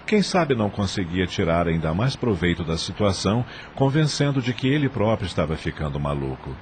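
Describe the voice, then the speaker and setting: Deep-voiced man narrating an audio novel. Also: very animated, brisk, slight background noise.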